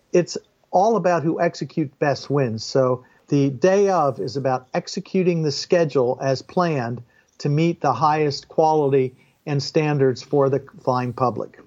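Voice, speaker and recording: A man's voice: fairly high pitch, a little mellow. Older man explaining on a dull, lo-fi line. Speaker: interview guest, former airline and Air Force pilot. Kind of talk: explanatory interview talk.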